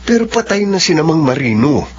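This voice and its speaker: An actor playing a confused, sulking young boyfriend in a radio drama. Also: male voice, a little high, very animated, fairly quick, darkish tone, breathy edge. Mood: hesitant. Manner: sulky, hesitant. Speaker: Johnny, the heroine's boyfriend. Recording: muffled lo-fi audio with faint hum.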